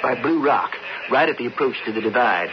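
A man acts a tough, practical frontier character in a radio western. He talks plainly with an American Western accent at a medium pitch. The broadcast recording is old and noisy. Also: animated, a little fast, lo-fi sound, natural voice. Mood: calculating. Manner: plain-spoken frontier talk. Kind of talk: 1970s radio drama acting.

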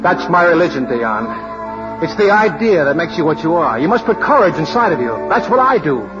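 A brash, boastful young fellow in a vintage radio drama, awkward at romance. His voice runs fairly high and leaps around. The recording is dull, with music underneath. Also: big pitch swings, normal speed. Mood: fervent, proud. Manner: brash, blustering, self-admiring. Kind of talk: 1940s radio drama acting. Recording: muffled old radio broadcast with music.

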